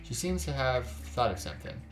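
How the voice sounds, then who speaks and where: A man's voice: medium pitch, sing-song, normal speed. A man hosting a gaming video, reading a visual novel aloud with casual reactions.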